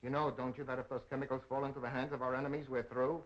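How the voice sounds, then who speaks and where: An actor playing a stern, urgent spy boss in a 1930s film, commanding and terse. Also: male voice, medium pitch, level tone, rapid-fire, lightly acted. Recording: muffled, noisy 1930s film soundtrack.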